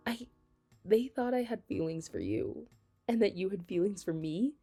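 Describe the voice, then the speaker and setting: Girl acting as flustered best friend w a secret crush, mellow creaky voice, asmr roleplay, halting, soft music behind. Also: mid-range voice, lively intonation. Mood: flustered, surprised. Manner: hesitant, halting, breaking into nervous laughs. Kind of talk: ASMR romantic roleplay, intimate conversational acting.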